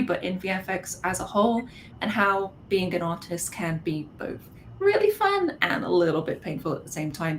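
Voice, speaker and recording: Friendly woman moderating a streamed panel, middle-pitched, faint hiss behind. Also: poor audio. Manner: friendly, self-deprecating. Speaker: host, product manager. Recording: faint background noise.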